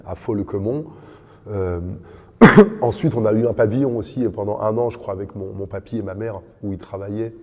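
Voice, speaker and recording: A man's voice: lowish voice, brisk, a little mellow, long reverb tail. A man in his fifties, a podcast guest telling his life story in an earnest, reflective way, piling up lists with rising emphasis. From born in Dakar, raised in France. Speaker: podcast guest, kickboxing champion.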